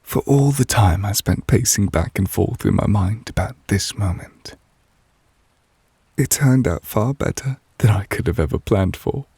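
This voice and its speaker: Guy doing a tender possessive anime witch boyfriend, really breathy low voice, slow, asmr roleplay. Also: no echo, heavily acted. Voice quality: breathy, soft, often dropping to a whisper. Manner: slow, tender, murmured endearments. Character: devoted, possessive yandere male witch, tender and teasing. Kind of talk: intimate ASMR audio roleplay.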